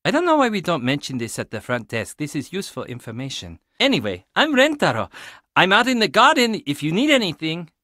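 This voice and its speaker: A man's voice: a little high, huge pitch swings, brisk, bright, forceful tone, dry recording, studio quality, full character voice. An actor playing an agitated, earnest young man at a Japanese inn in a video game, emphatic and dramatic. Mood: helpful, brisk.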